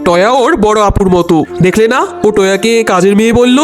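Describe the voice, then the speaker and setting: A man's voice: high-pitched, brisk, close to an everyday voice. A man voicing a fair-minded cartoon father and uncle who reasons and admonishes earnestly. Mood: stern. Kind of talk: cartoon dialogue. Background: music.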